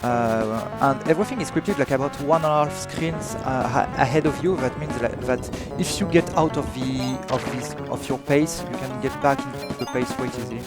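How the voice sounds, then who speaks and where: A man's voice: medium pitch, moderate pace, few pauses. A young man from France with a French accent, hesitantly commentating his live speedrun of a game, with many fillers and restarts. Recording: game music playing behind.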